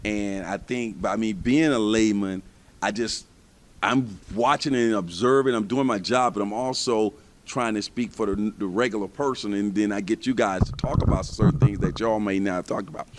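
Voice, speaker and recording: A man with a fairly low voice moderates a TV panel discussion. He asks questions in an easy, casual and conversational way. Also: moderate pace, slight background noise. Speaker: TV host and moderator.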